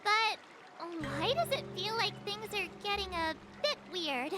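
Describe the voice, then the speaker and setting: A woman's voice: extremely high pitch, unhurried, heavy background noise, exaggerated voice. A woman voicing a bubbly, eager teenage girl apprentice in an anime game, cute and a little hesitant. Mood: grateful, curious. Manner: cute, excitable, slightly hesitant.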